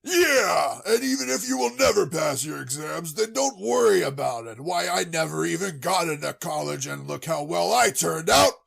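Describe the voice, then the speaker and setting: A man playing a blustery, easygoing middle-aged father with a gravelly, husky voice in an amateur anime audio drama. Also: a little high, sing-song, normal speed, few pauses, a bit bright, heavily acted. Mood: blustery, reassuring. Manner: boastful, dismissive of worries.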